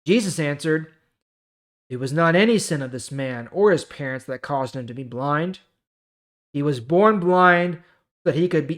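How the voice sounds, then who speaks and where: A host of a Bible reading series, mixing scripture read aloud with informal, explanatory asides to listeners. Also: mid-range voice.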